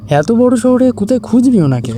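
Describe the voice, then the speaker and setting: Man playing a high, mellow, skeptical young man. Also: big pitch swings, brisk, slight background noise. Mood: reluctant, evasive. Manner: incredulous, hesitant, argumentative. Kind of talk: Bengali audio story acting.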